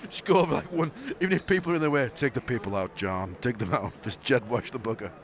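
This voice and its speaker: A Yorkshire man co-hosting a podcast, chatting casually and excitably while watching football. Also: mid-range voice, quick pace. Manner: excitable, laughing, reacting to the match. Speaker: podcast co-host.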